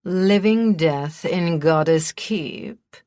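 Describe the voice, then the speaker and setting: A woman voicing a cold, cruel, commanding high prince and father in an audiobook, speaking with soft menace. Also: steady tone, takes it slowly, gravelly, no room sound, studio quality, heavily acted. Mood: quiet menace.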